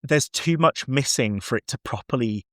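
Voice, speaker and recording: A man's voice: mid-range voice, lively intonation, normal speed, no echo. Chatty man with a dry, close sound. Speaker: podcast guest film reviewer. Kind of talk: casual, chatty film discussion.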